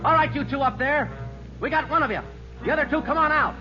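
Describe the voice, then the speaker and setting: Fast-talking, clipped police detective. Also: male voice, very high-pitched. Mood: urgent. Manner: clipped, matter-of-fact. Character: brisk, no-nonsense male police detective who narrates. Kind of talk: 1940s radio detective acting and narration. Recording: muffled vintage radio recording with music.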